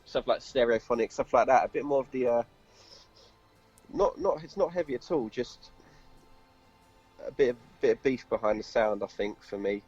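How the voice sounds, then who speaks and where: A man, a guitarist in a casual interview, speaking in a halting, plain way. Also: medium pitch, darkish tone, husky. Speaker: band guitarist being interviewed. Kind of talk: casual chat. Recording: muffled lo-fi, music behind.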